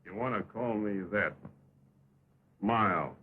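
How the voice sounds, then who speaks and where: A man playing a steady, laconic cowboy foreman in an early talkie Western, with an American accent and a terse drawl. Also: fairly low pitch, takes it slowly. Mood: cool menace. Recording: tinny, noisy 1929 film soundtrack.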